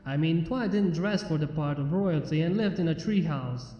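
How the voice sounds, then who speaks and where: High-voiced man narrating, drenched in reverb. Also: moderate pace, mellow. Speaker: fan fiction narrator. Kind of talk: scripted story narration. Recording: muffled, echoey long reverb under music. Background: music.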